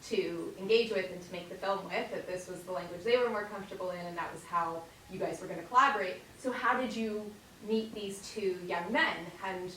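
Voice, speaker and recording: A woman's voice: medium pitch, fairly quick, hardly any pauses, sharp tone. A woman in the audience asking a long, hesitant question full of fillers. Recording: distant voice in an echoey hall with hiss.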